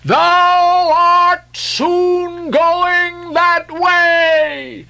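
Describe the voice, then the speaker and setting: Shrill, wild prophet sailor. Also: male voice, fairly even intonation, vocal fry, bright, forceful tone, exaggerated voice. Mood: frenzied warning. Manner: vehement, drawn-out, shrieking exclamations. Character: wild fanatical male prophet sailor, shrieking doom. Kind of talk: dramatic audiobook character voice.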